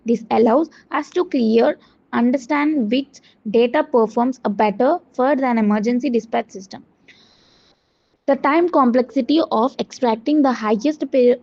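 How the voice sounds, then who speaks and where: Woman student doing a scripted presentation, kinda high voice, stumbles and restarts words, muffled lofi sound. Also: a little mellow. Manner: halting reading with stumbles and self-corrections. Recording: dull, muffled lo-fi audio.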